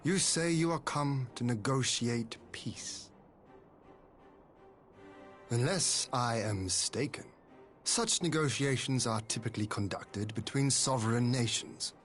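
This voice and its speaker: Proud game lord: stern, dignified and guarded, medium-pitched male voice, formal blunt phrasing, faint music behind. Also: fairly bright tone. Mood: cool, skeptical. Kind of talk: scripted video game voice acting.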